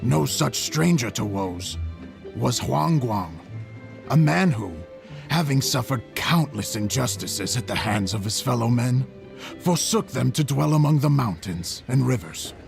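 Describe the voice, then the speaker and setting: An actor playing a storyteller in a video game, reciting a heroic legend in a measured, formal, theatrical manner. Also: male voice, mid-range voice, expressive, vocal fry. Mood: solemn, grand. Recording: music under the voice.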